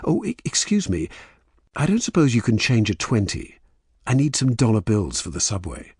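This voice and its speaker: A man's voice: fairly low pitch, huge pitch swings, normal speed, a little mellow, slightly rough, dry recording, studio quality, voice pushed up, full character voice. A man voicing a polite, apologetic English con man who feigns confusion in an audiobook story. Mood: apologetic, feigning confusion.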